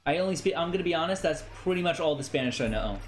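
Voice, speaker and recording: A young American man chatting casually on a game stream, animated and playful, often stammering and repeating phrases. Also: a little high, rapid-fire, a little hiss or hum. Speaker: livestreamer, VTuber.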